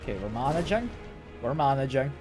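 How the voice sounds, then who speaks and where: A man's voice: medium pitch, big pitch swings, a little fast. A man streaming a video game, slightly ill and congested, chatting casually and often repeating words as he plays. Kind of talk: casual gameplay commentary. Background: game music.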